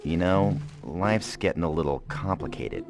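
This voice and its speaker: An actor playing a wry, exasperated adventurer in a video game cutscene, with a dry, sarcastic manner. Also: male voice, sits low, normal speed, creaky, over music.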